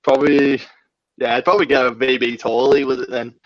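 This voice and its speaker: A man's voice: medium pitch, little pitch movement, a little fast, no echo, muffled recording. A young man with an Australian accent, bantering casually in a group, joking and swearing freely. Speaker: podcast guest or band member.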